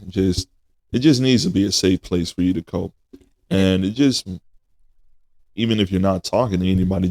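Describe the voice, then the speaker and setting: Twenties male podcast host, deep voice, unhurried and thoughtful, dry close mic. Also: darkish tone, no room sound. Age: 20s. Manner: slow, reflective, addresses listeners as y'all. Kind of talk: casual podcast chat.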